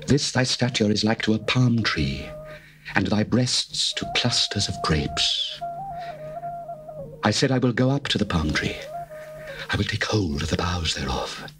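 A solemn, adoring lover from an ancient love poem, as a man reads him, speaking his praise slowly and with reverence. The voice sits a little low and is steady. Faint music plays underneath. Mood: tender. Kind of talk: dramatized scripture reading.

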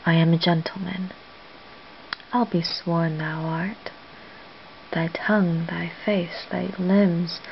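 A woman playing a lovestruck noblewoman in a soft, hushed, tender Shakespearean stage monologue. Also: lowish voice, gravelly. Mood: musing, smitten. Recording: dull lo-fi home recording, faint hiss.

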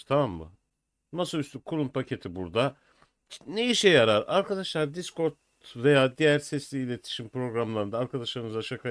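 An older man presenting a software tutorial in an informal, step-by-step way. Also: medium pitch, no room sound, clean studio sound. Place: small indoor room at a desk. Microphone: USB computer microphone.

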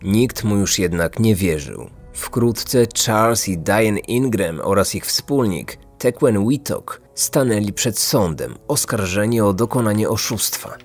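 A man narrating a scripted true-crime podcast as its host, measured and serious. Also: fairly low pitch, lively intonation, faint music behind.

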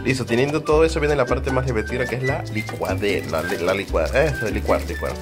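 A man's voice: medium pitch, lively intonation, quick pace, over music. A man with a Peruvian Spanish accent hosting a casual food vlog, playful and colloquial as he talks straight to viewers. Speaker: food vlogger and host. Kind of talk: casual cooking vlog chat.

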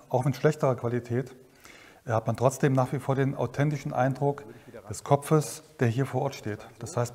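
Methodical sound engineer in a reverberant studio. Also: male voice, mid-range voice, darkish tone. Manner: methodical, explanatory. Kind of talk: technical explanation.

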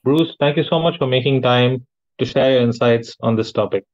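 A man's voice: mid-range voice, normal speed, highs cut off. A man reading a scripted podcast introduction as its host.

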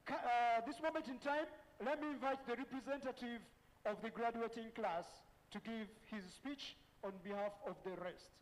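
A middle-aged man with a Ugandan accent, deliberately and emphatically announcing as master of ceremonies at a formal graduation. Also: very high voice, steady tone. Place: large event tent. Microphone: handheld microphone. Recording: reverberant PA sound in large tent.

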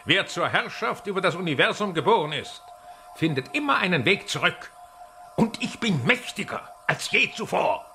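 An actor playing a megalomaniac mad scientist villain in a radio play, with theatrical, mock-courteous, drawn-out delivery. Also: male voice, high voice, sing-song, pushed and bright, a little hiss or hum, heavily acted. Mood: boastful.

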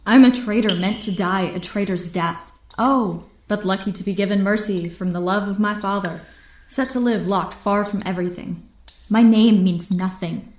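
Radio drama actress as proud imprisoned female captain: defiant, bitter, formal, mid-pitched, in a roomy echo. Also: fairly even intonation, normal speed, a little mellow, close to an everyday voice. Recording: roomy, echoing sound.

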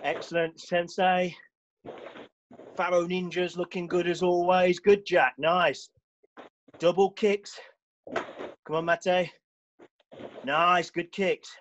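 A man's voice: sits high, fairly even intonation, slow pace, long pauses, muffled recording, a little hiss or hum. A man coaching an online karate class from a small brick-walled gym, calling out drills and cheering students on as he trains with them. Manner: barking encouragement and commands while training. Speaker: karate instructor.

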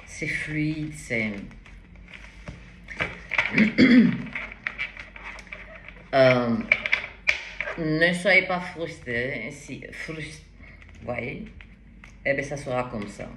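Slow-talking accented woman reading tarot. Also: low-pitched, animated. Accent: foreign accent in French. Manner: slow, halting, many fillers and restarts. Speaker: tarot card reader. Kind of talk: casual tarot reading to camera. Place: bright indoor room at a table. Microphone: camera in front at table height. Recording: roomy echo, faint background hiss.

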